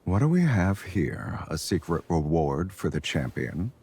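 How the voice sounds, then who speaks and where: A man voicing a confident, teasing champion fighter and lover in a romance game, with a husky voice. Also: deep voice, big pitch swings, a little slow, a little mellow, faint music behind, exaggerated voice. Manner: smooth-talking, teasing.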